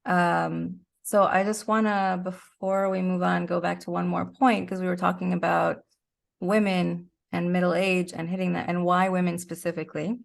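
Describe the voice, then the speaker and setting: A woman's voice: on the low side, level tone, moderate pace, vocal fry. A woman in her forties speaking candidly and emphatically as a guest in a reflective interview conversation. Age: 40s.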